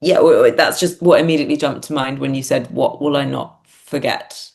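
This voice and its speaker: A woman's voice: extremely low pitch, fairly quick, creaky, darkish tone. A woman reflecting as an interview guest, speaking hesitantly and often searching for words. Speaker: podcast guest, theatre writer and performer.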